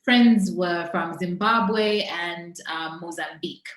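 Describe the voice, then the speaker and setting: A woman's voice: lowish voice, slow. Zambian woman in an echoey room. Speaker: interview guest, entrepreneur. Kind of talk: personal storytelling in a conversational interview. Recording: roomy, echoing sound.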